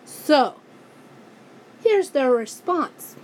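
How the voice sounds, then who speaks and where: A woman ranting angrily in a vlog, hoarse and losing her voice, often breaking off and restarting her sentences. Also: high voice, very animated, extremely slow, darkish tone, poor audio. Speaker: YouTuber.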